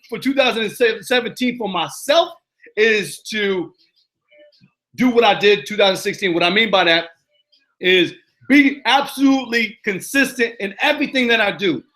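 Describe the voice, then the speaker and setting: A high-pitched man with a New York accent runs a live motivational Q and A. His voice is bright and forceful, and he hammers his points home with repetition. He keeps checking in with his listeners. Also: expressive, moderate pace. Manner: emphatic, repetitive, preachy, keeps asking "you know what I'm saying". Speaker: motivational coach hosting a live Q and A. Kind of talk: live-stream Q and A monologue. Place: living in Arizona.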